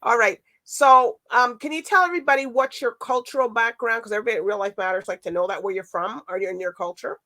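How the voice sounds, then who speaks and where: A woman hosting a casual talk show, bubbly and rambling, quick to laugh. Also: a little high, pushed and bright, dry recording. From Canada, with Barbadian parents. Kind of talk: casual interview chat.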